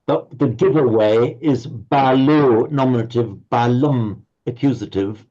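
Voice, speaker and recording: A man's voice: mid-range voice, a little slow, warm tone. A man teaching a Pali class online, explaining patiently and often stumbling over repeated words. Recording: slightly muffled audio.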